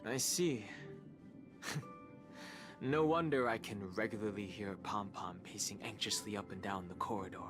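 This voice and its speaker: An actor playing a reserved young man who speaks in a dry, quiet way, over game music. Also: on the low side, normal speed, pauses often, lightly acted.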